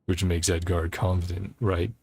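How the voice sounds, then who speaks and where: A man's voice: deep voice, flat delivery, normal speed, a little mellow, husky. A man doing casual video game commentary, thinking aloud as he pieces a mystery together, with game music behind him. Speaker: streamer playing a video game.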